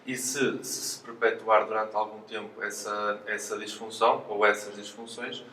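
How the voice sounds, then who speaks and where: Halting, even-toned Portuguese man in an echoey room. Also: lowish voice. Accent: European Portuguese. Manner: hesitant, halting, explanatory delivery with repeated words. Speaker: physiotherapist being interviewed. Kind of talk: informal explanatory interview. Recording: roomy echo, distant mic, faint noise.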